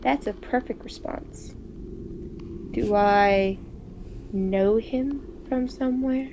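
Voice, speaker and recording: High-voiced woman reading aloud, muffled mic. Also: takes it slowly, warm tone. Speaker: gaming video host. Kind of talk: reading visual novel narration aloud with casual chat. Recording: muffled, noisy home microphone.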